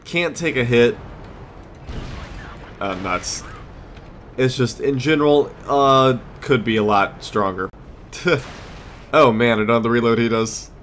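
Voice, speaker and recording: A man's voice: mid-range voice, slow, long pauses, fairly bright tone. A man giving casual gameplay commentary as a let's play host, muttering and exclaiming as he plays. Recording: clear background noise, game ambience.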